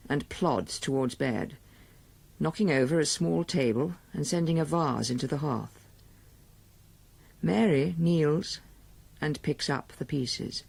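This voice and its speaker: A man's voice: on the high side, vocal fry, a little mellow. A man reading scripted narration for a radio drama.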